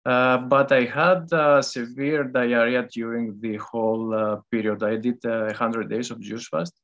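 A man's voice: mid-range voice, fairly even intonation, unhurried, fairly bright tone, muffled recording. Man, caller, hesitant, lots of fillers, thirties. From Greece. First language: Greek.

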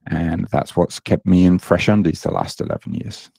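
A man's voice: low-pitched, wildly swooping pitch, normal speed, gravelly, warm tone, breathy edge, no echo. A middle-aged British man, a guest coach on a podcast, talking casually in a self-deprecating way, with many ums and uhs. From Britain. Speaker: guest, online fitness coach.

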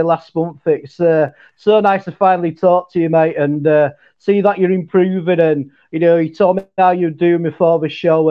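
A man's voice: high-pitched, lo-fi sound. A man from Leeds with a Yorkshire accent, chatting as a guest and hesitating with lots of fillers. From Leeds, northern England. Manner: hesitant, many fillers, says mate a lot. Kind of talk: casual chat.

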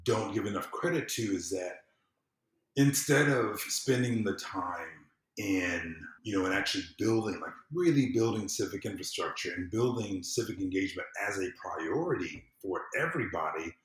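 An American man with a low voice speaks in an echoing room. He talks as a podcast guest, in a casual but impassioned way. His delivery is emphatic and informal. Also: lively intonation, normal speed, fairly bright tone. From southern United States. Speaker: podcast guest, civic engagement consultant. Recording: roomy, echoing sound.

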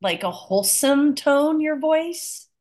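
A woman co-hosting a podcast while sick, her voice lower than usual, chatting casually and laughing often. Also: animated, takes it slowly, darkish tone. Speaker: podcast co-host. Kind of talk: casual chat.

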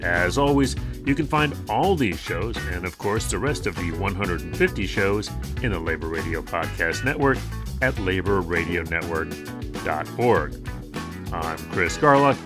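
A man hosting a labor radio show, reading the show's rundown in a brisk announcer delivery and then interviewing guests. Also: low voice, animated, over music. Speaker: radio and podcast host. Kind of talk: scripted show narration and interviewing.

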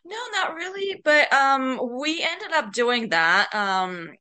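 A woman's voice: high voice, animated, slow pace, sharp tone. A middle-aged woman with a Bulgarian accent, giving casual, hesitant and giggly answers as a podcast guest. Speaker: podcast guest, author and writing coach.

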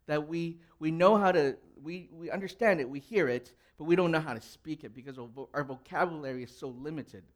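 A man's voice: fairly high pitch, fairly quick, few pauses. A young adult man, a pastor whose first language was Cantonese, preaching a conversational sermon and putting questions to the congregation. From grew up in an English-speaking country.

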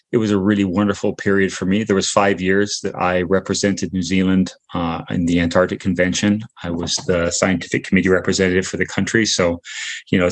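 An American man from South Dakota, a scientist guest on talk radio, speaking hesitantly and with self-deprecation. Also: low-pitched. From South Dakota, United States. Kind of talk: interview, personal account.

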